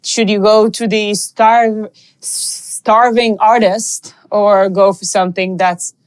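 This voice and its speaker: A woman hosts an interview podcast. She introduces the show and asks her guest curious, friendly questions. Her voice sits at an ordinary middle pitch for a woman. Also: flat delivery, slow pace, clear, resonant tone. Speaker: podcast host.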